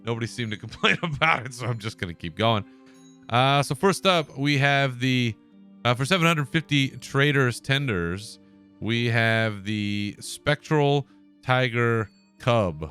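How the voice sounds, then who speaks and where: A man's voice: mid-range voice, expressive, unhurried, sharp tone, faint music behind. A man hosting a podcast alone, talking in a laid-back, casual way and swearing now and then. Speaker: podcast host. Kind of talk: solo casual commentary.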